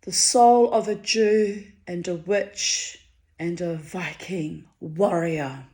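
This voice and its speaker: A Hungarian-born woman reading her own diary entries aloud, wry and theatrical, her voice swinging high and low for effect. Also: slow pace. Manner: wry, theatrical, dramatic swings of pitch and loudness.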